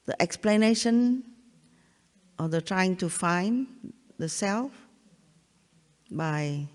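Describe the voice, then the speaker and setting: An older woman from Vietnam with a Vietnamese accent, giving a spiritual talk in a halting way, often repeating herself and checking in with listeners. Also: on the low side, darkish tone. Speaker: spiritual teacher. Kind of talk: satsang talk. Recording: long hall reverb.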